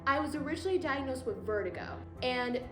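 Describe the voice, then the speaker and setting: A woman's voice: high-pitched, animated, fast talker. An eighteen-year-old woman answers questions casually, with "like" scattered through her speech. Her voice sounds roomy, and music plays behind it. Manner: casual, frequent "like". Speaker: interviewee.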